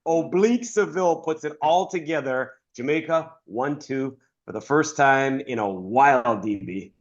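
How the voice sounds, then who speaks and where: A man hosting a sports podcast with energetic, hype-announcer flair. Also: medium pitch, moderate pace, muffled recording. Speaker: podcast host. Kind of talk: upbeat show hosting.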